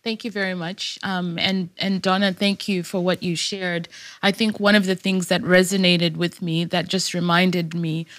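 Woman in her 40s from zimbabwe, low-ish voice, thoughtful, lots of "you know", dry sound. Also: little pitch movement, moderate pace, a bit bright, no room sound. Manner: reflective, many "you know" fillers. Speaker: guest speaker. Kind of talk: personal testimony.

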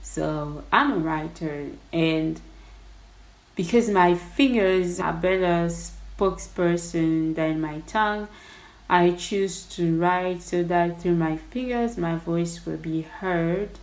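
A woman's voice: fairly low pitch, slow, echoey room, rough recording. A young Congolese woman with a French-tinged English accent, a podcast host thinking aloud about her show in a casual, reflective way. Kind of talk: casual behind-the-scenes monologue.

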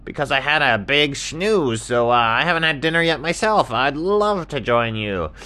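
A man's voice: mid-range voice, lively intonation, moderate pace, pushed and bright, voice pushed up. A man voicing a big, easygoing ostrich in a children's story, guileless and full of exclamations. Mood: delighted. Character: big sleepy, easygoing ostrich who loves dinner.

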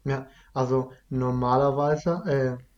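A man's voice: medium pitch, little pitch movement, takes it slowly, lo-fi sound. Young man. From Iraq. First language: Kurdish. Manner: hesitant, many restarts and fillers. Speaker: interviewee. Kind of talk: casual conversation.

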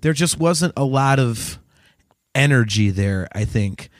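A man's voice: medium pitch, animated, unhurried, no echo. A man co-hosting a music podcast, chatting in an informal, conversational way. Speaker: podcast co-host. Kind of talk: casual chat about music albums.

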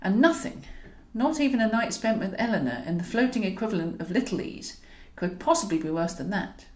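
A British woman narrating a comic novel in a wry, expressive way, as a scripted audiobook reading. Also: mid-range voice, a little fast. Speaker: author and narrator. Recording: roomy, echoing voice recording.

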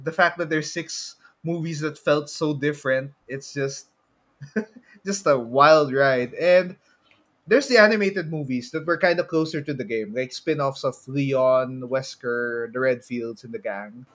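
A man with a Filipino accent chatting casually about movies as a podcast co-host, halting and prone to chuckling as he talks. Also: medium pitch, a bit bright.

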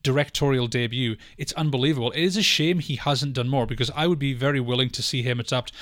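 A man's voice: medium pitch, quick pace, fairly bright tone, dry recording, clean studio sound. A man co-hosting a film podcast, speaking in a casual, conversational discussion style.

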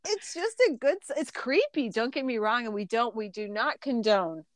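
A woman's voice: fairly high pitch, animated, moderate pace, no room sound, studio quality. A woman co-hosting a casual podcast, talking chattily with many fillers and laughs, a little stuffy from a recent illness. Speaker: podcast co-host. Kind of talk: casual chat.

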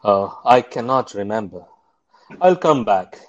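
A man's voice: fairly low pitch, expressive, unhurried. Man acting evasive doctor, hesitant, audio drama, varied intonation, dull lo-fi sound. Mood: subdued, evasive. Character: hurt, evasive male doctor hiding an injury. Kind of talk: amateur audio drama dialogue. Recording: dull, lo-fi microphone.

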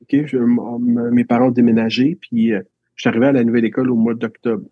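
A man's voice: medium pitch, flat delivery, quick pace, husky, no echo, phone-quality sound. A man with a Quebec French accent, a teacher reflecting on his schooling in a casual interview, telling stories with frequent restarts. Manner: reflective, storytelling with many restarts.

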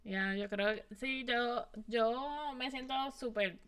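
A woman's voice: a little high, slow, crowd noise. A woman co-hosting a podcast, chatty and laughing easily as she switches between Spanish and English. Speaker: podcast co-host. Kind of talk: casual podcast chat.

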